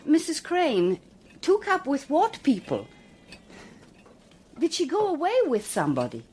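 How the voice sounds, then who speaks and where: A woman's voice: sits high, huge pitch swings, a little slow, a little mellow, slight background noise, exaggerated voice. A woman acting in a radio drama as an earnest police detective, coaxing and persistent in her questioning. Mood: probing.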